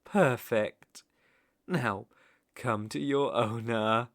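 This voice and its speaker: Man playing a slow, smug British sorcerer. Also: medium pitch, fairly bright tone, no echo, studio quality. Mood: smug, commanding. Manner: cooing baby talk mixed with smug menace. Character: gloating, doting, cruel male sorcerer captor. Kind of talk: ASMR roleplay acting.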